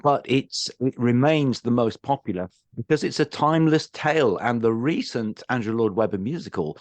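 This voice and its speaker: A man's voice: mid-range voice, moderate pace, a little mellow, dry recording. Man hosting, close and dry. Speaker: podcast host and interviewer. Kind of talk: presented podcast interview about theatre.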